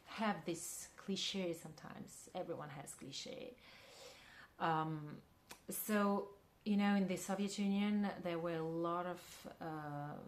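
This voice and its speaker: A woman with a Russian accent, a filmmaker and actress, answering an interview question thoughtfully and pausing often to find her words. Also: on the low side. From Kyrgyzstan. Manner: thoughtful, searching for words with many pauses.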